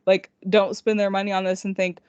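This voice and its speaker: A woman's voice: mid-range voice, little pitch movement, a little fast, smooth, no echo. Female indie author co-hosting a podcast, self-deprecating and conversational, with a dead-room, dull lo-fi sound. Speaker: podcast co-host. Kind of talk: casual chat.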